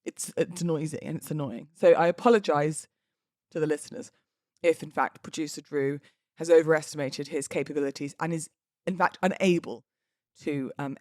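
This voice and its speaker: British woman chatting on her podcast, lowish voice, really dry close mic, cheeky emphasis and self-mocking. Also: darkish tone, dry recording. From United Kingdom. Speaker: podcast host; wedding celebrant. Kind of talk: casual solo podcast chat.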